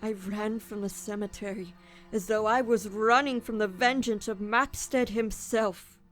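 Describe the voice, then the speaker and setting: A woman's voice: medium pitch, steady tone, natural voice. A woman playing a guilt-ridden, tormented young thief in a radio drama, acting scenes and narrating in the first person. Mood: brooding narration. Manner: tormented, brooding, deliberate.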